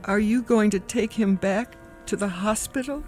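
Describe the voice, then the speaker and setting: A woman's voice: medium pitch, moderate pace, darkish tone, soft background music, natural voice. An actress playing a stern, fretful, imperious mother of a grown son in an old drama. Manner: scolding, imperious. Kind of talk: mid-century television drama acting.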